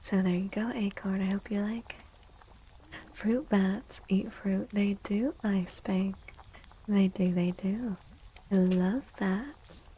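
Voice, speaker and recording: Woman, soft-spoken ASMR streamer, breathy near-whisper, mellow tone, gentle, slow delivery. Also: medium pitch, steady tone, a little hiss or hum. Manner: gentle, unhurried. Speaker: livestream host and tarot reader.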